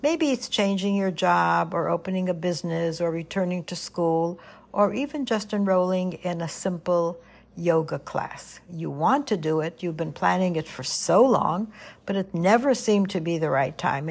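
An older woman hosting a podcast, talking to her listeners in a gentle, earnest, motherly way with a soothing voice. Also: fairly low pitch, unhurried, creaky. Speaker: podcast host. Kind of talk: reflective monologue podcast.

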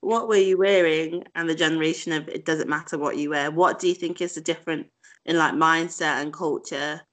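Woman asking curious questions, casual interview, lowish voice. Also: fairly even intonation, a little fast.

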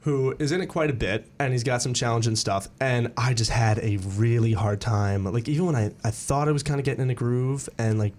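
A young man from Pennsylvania talks quickly and eagerly as a radio guest, piling up his stories with quick restarts. His voice sits in an ordinary middle pitch and he keeps an animated, storytelling energy. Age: young adult. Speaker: guest, musical theatre student. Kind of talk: casual radio interview chat.

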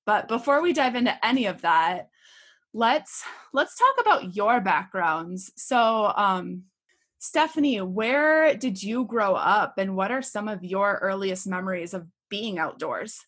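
A woman's voice: mid-range voice, expressive, a little slow, a bit bright. A woman hosting a podcast, gushing and eager as she introduces and questions her guests. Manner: enthusiastic, gushing. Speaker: podcast host. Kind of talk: podcast interview.

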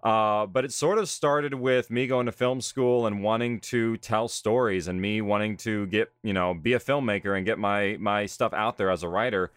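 A man's voice: lowish voice, normal speed, few pauses, clean tone, no echo, clean studio sound. A man speaking in a documentary interview as a writer, director and creator of audio drama. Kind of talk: documentary interview about their own work.

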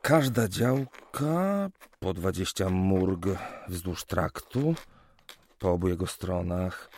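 A man's voice: deep voice, lively intonation, slow, darkish tone, a little hiss or hum, heavily acted. A man playing a shy, polite young land surveyor in a radio play, modest and hesitant in manner. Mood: pensive.

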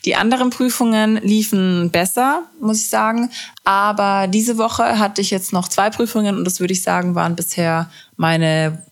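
A woman in her twenties hosting a podcast, chatting casually and self-deprecatingly and mixing in English words. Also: medium pitch. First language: German. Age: 20s. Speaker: podcast host. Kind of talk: casual chat.

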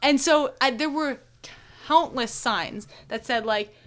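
A young woman with a hoarse voice, chatting casually and telling a long story full of fillers. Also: high voice, takes it slowly. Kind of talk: casual chat.

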